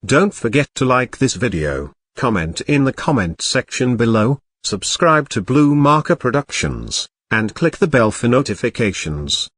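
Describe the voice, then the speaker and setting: A man presents a talk show in a scripted hosting style. His mid-pitched voice moves up and down in an animated way. The sound is dry, with very little room in it. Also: measured pace, few pauses, breathy edge, no echo. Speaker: show host.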